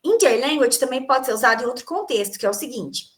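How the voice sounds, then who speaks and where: A woman teaching an English class online, her voice sitting a little high. She explains each term step by step, asks her students questions, and then answers them. She speaks into a computer mic on a video call. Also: expressive, fast talker.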